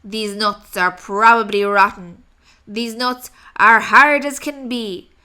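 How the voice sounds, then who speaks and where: A woman voicing a fearsome, greedy male rat highwayman in a storybook, bellowing and commanding with scorn. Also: fairly even intonation, takes it slowly, pushed and bright, clean tone. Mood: snarling. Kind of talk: storybook character voice.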